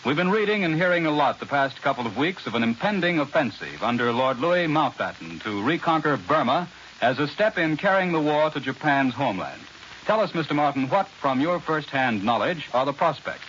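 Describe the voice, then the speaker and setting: A man's voice: a little high, animated, brisk, a bit bright, dull audio. 1940s American radio newsman, hissy old recording. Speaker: news anchor and interviewer. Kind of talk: 1940s radio news delivery, scripted. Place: New York radio studio.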